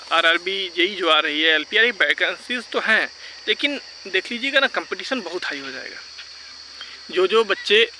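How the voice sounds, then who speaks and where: Man, high-pitched and piercingly bright, insistent informal advice-giver, faint outdoor noise behind. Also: poor audio. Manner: insistent, repeats points; mixes English words into speech. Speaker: vlogger advising exam candidates. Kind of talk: informal argumentative advice talk.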